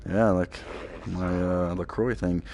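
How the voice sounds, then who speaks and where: A man's voice: sits low, fairly even intonation, slow pace, darkish tone, a little hiss or hum. A man giving casual live commentary on a game, laid-back and mostly talking to himself, muttering along as he goes. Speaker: gaming streamer.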